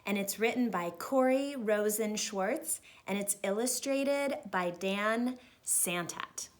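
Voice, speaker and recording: A woman teacher reading a children's storybook aloud in an expressive narrating style. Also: medium pitch, slow pace, slight background noise.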